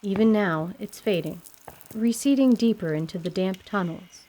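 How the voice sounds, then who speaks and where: A woman narrating a scripted fantasy tale in a measured, literary radio theatre style. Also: medium pitch, dark tone, poor audio. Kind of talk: scripted descriptive story narration. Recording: faint background noise.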